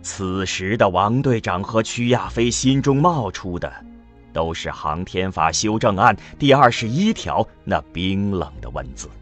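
A man's voice: lowish voice, animated, measured pace, fairly bright tone. A man reading dramatic scripted narration for a science fiction radio drama, over music.

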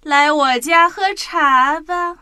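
Slow, high-pitched sly snake. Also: female voice, pushed and bright, slight background noise, heavily acted. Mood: sly, coaxing. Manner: drawn-out, whispery, insinuating. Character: sly hungry snake luring its prey. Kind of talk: children's audio drama acting.

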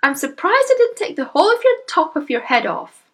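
A woman voicing a bossy, exasperated middle-aged mother in a children's audiobook, shrill and scolding. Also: sing-song, brisk. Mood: blunt, scornful.

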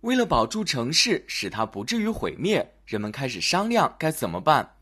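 Brief: narrator for children's fairy tales, dramatic and highly animated, with some huskiness in the voice. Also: normal speed, breathy edge. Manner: expressive, dramatic delivery for children. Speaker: children's storyteller. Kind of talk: scripted fairy-tale narration.

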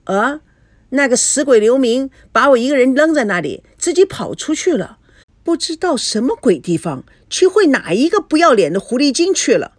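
A woman's voice: high-pitched, very animated, moderate pace, dry recording, pitched above the performer's own voice. A woman voicing a foul-tempered, suspicious mother in a story, scolding with coarse sarcasm. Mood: suspicious, griping.

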